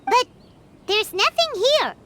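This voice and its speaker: A woman voicing a tiny childlike fairy companion in an English game dub, squeaky, expressive and excitable. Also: slow pace, heavily acted. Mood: puzzled, uneasy. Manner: squeaky, chirpy, expressive, speaks of herself in third person.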